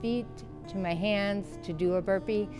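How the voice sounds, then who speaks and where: A woman's voice: medium pitch, fairly even intonation, unhurried. A woman in her fifties speaking candidly and plainly in an interview. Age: 50s. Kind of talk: interview testimonial. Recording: music bed underneath.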